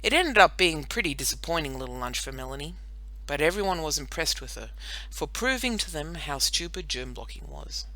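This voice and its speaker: A woman narrating a children's story in an expressive, animated storytelling manner. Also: low-pitched, a little fast, low-quality recording. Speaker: audiobook narrator.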